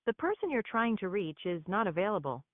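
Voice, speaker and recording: A woman's voice: mid-range voice, very animated, a little fast, no room sound. A woman's automated voicemail announcement, played as a recorded message over a noisy, tinny phone line.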